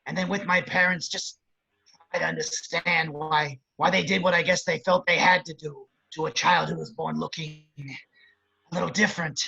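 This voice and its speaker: Gravelly, pitiful male outcast played by a man. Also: a little high, fairly even intonation, moderate pace, bright, forceful tone, highs cut off, exaggerated voice. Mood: earnest, plaintive. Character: Penguin, a pitiful outcast man pleading earnestly. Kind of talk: table read of a film script.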